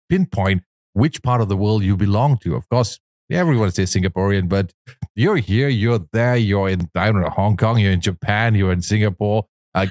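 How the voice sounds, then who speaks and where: Low-voiced man hosting a casual interview. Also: a little mellow, no room sound, clean studio sound. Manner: effusive, complimentary. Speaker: talk show host.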